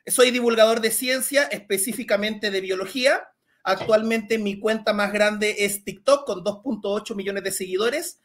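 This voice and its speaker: Chilean man explaining science over a headset. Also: high voice. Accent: Chilean with some Mexican Spanish. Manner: relaxed, explanatory, with frequent "este" fillers. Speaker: science communicator guest. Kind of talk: casual Q&A talk on a video call. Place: small room at home. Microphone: headset on a video call.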